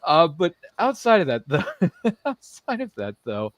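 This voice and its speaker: A man's voice: fairly high pitch, huge pitch swings, unhurried, dry recording. A man hosting a podcast interview in a casual, jokey, rambling way, often repeating his phrases. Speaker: podcast host. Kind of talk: casual live interview chat.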